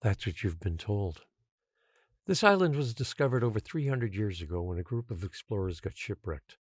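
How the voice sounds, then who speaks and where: An actor playing a patient, controlling guardian who secretly rules an island, speaking in a measured, quietly menacing way in an audio drama. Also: male voice, on the low side, very animated, breathy edge, dry recording, studio quality. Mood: solemn, revealing.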